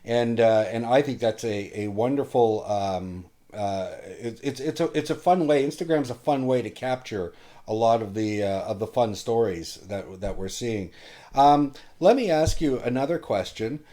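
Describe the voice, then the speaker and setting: A man hosting a casual interview chat, often halting and rephrasing his questions as he goes. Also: medium pitch, warm tone. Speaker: show host interviewing guests.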